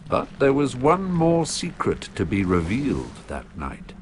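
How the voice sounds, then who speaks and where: A man giving scripted, measured storybook narration for video game cutscenes. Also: mid-range voice, huge pitch swings, slight background noise.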